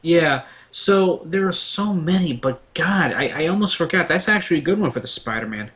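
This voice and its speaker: A man's voice: fairly high pitch, lively intonation, normal speed, a bit bright. A man on a discussion panel, recounting things casually and enthusiastically.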